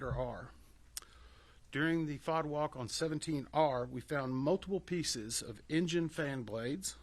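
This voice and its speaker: A man speaking as an investigation official at a formal press briefing, explaining things in a measured, procedural way. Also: medium pitch, a bit bright.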